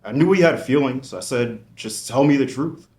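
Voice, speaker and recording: A man's voice: mid-range voice, normal speed, darkish tone, lightly acted. A man playing a grieving, defensive character who turns prickly, in a screenplay table read. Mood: grieving, confessional. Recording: roomy echoing table-read recording.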